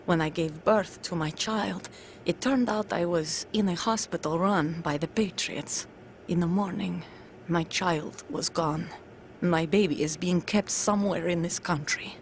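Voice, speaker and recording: A woman's voice: on the low side, measured pace, slight background noise. A woman playing a grave, guarded undercover soldier in a video game, speaking in a serious, controlled, confiding way. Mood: sorrowful confession. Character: guarded female undercover soldier, grave mother of a stolen child. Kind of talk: dramatic video game cutscene acting.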